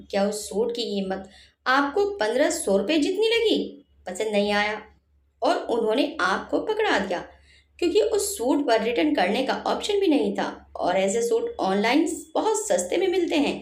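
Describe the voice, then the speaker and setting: Woman acting a scolding young sister-in-law, storybook drama, high voice, emphatic, roomy echo.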